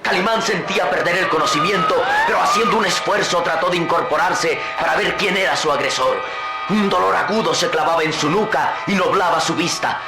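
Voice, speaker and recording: Suspenseful male radio narrator, mid pitch, heavy music bed. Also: huge pitch swings, fairly quick. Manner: dramatic, suspenseful. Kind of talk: scripted radio drama narration.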